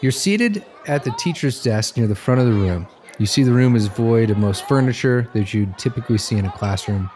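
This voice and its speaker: A man's voice: medium pitch, expressive, fairly quick, darkish tone, some chatter behind. A man reading scripted second-person narration that sets out a scene step by step.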